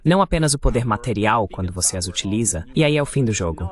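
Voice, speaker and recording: A man's voice: on the low side, lively intonation, fast talker. A man delivering political commentary as the translated voice of an interview guest. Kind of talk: Portuguese voice-over of political commentary.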